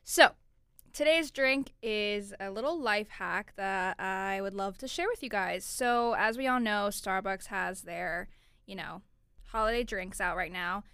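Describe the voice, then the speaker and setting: A twenty-year-old woman from Florida talks chattily as host of her own podcast, with a bright, forceful tone. Her voice is smooth and crisp, and the sound is dry and close. She keeps up an upbeat flow with plenty of "like" and "you know". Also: medium pitch, unhurried, dry recording. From Orlando, Florida, USA. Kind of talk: casual solo podcast chat.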